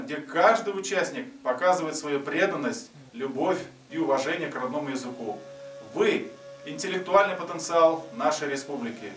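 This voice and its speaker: Middle-aged man, measured official greeting. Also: on the high side. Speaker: institute director. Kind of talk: formal recorded greeting. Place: indoor office. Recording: roomy and distant, soft music under.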